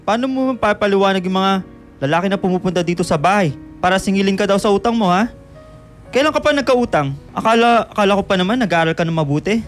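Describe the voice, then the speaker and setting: A worried middle-aged dad with a high voice for a man. He scolds and questions reproachfully in a radio drama over faint music. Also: animated, quick pace. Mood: worried, scolding.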